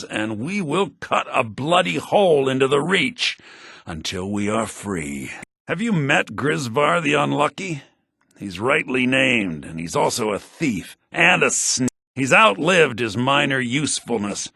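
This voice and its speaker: Gravelly, bitter imprisoned rebel leader. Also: male voice, medium pitch, bright, forceful tone, dry recording, clean studio sound, full character voice. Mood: cold, commanding. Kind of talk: video game character dialogue lines.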